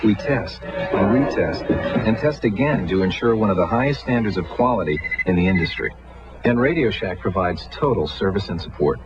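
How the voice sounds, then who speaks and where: A man giving an assured, scripted sales pitch for a commercial, in declarative statements. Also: expressive, normal speed, lightly acted. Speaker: TV commercial spokesman. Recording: muffled vintage TV advert with music. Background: music.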